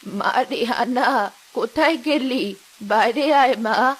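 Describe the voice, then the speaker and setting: A woman doing a slow-talking, motherly old village granny. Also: a little high, a bit bright, husky, voice pushed down, full character voice. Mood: affectionate, calling. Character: gentle elderly village mother-in-law. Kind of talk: cartoon story dialogue.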